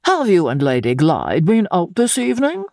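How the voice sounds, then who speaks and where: A woman voicing a large, suave gentleman count in an audiobook, falsely polite, courtly and teasingly inquisitive. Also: fairly low pitch, huge pitch swings, normal speed, gravelly, no room sound, clean studio sound, pitched above the performer's own voice, full character voice. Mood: politely probing. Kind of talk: dramatic audiobook character reading.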